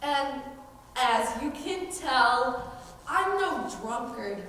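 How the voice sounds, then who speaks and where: A teenage girl playing a brisk, sanctimonious outlaw nun with a scolding manner in a school stage play. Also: high voice, expressive, a bit bright, exaggerated voice. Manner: pious, scolding, emphatic. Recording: distant, echoey stage recording with noise.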